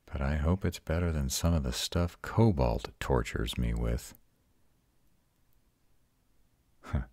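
A man playing an ancient vampire who tenderly comforts his mate in a soft, intimate roleplay audio drama. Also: dry recording, exaggerated voice. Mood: soothing, affectionate. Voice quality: very deep, husky. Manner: soft, intimate, slow reassurance. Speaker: voice actor.

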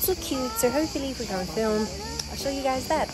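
A woman's voice: high-pitched, brisk. A woman in her twenties chatting casually to camera as a vlogger, recorded outdoors on a handheld camera at arm's length. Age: 20s. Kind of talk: casual vlog chat to camera. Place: outdoors, streets and tourist sites. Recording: music over the voice.

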